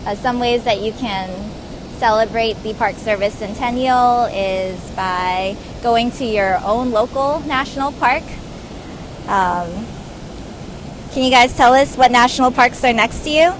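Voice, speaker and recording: A woman park ranger from Hawaii chats on a live stream, upbeat and unhurried. Her voice sits a little high and her pace is slow. Steady outdoor noise runs behind her on a phone recording. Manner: upbeat and chatty, with frequent uh fillers. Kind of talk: casual live-stream chat. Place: outdoor elevated viewing platform by a river.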